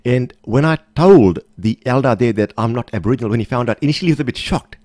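Male interview guest. Quick, emphatic anecdotal delivery with repeated phrases, very dry sound. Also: mid-range voice, animated, darkish tone, dry recording. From Australia. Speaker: podcast guest, motivational speaker. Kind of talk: conversational interview, personal anecdotes.

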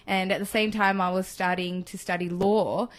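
A woman in her twenties from Chile, a podcast guest talking in a relaxed, thoughtful way with many fillers. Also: mid-range voice, normal speed. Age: 20s. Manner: thoughtful, filler-heavy, laughs easily. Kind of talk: casual interview chat.

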